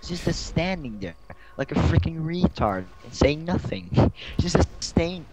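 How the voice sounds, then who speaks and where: A man's voice: on the high side, sing-song, a little slow, heavy background noise. A young man chatting casually and telling anecdotes in a rambling, giggly way. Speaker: co-host of a casual gaming video.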